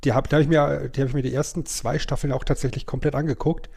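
A man's voice: mid-range voice, little pitch movement, quick pace, vocal fry, a little mellow, breathy edge. A middle-aged man, co-hosting a podcast in an informal, explanatory way. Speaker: podcast co-host. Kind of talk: casual podcast chat.

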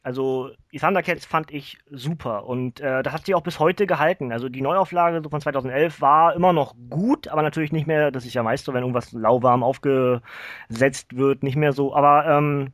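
A man, talking casually on a podcast in a rambling, halting way. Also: mid-range voice, fairly bright tone, no echo. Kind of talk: casual chat.

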